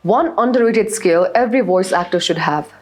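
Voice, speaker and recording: A woman hosting an interview, reading brisk, scripted questions. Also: mid-range voice, soft background music. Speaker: host.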